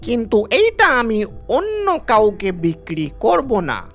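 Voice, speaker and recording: A man voicing a wily village man who pleads and feigns grave illness in a cartoon, speaking in a halting, theatrical way. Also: high voice, huge pitch swings, fairly bright tone, faint music behind, full character voice. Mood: pleading, sly. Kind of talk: cartoon story acting.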